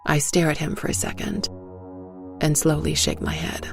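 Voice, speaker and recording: Creaky-voiced woman narrating dark fiction. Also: deep voice, monotone, normal speed, soft background music. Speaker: storyteller, first-person narrator of audio fiction.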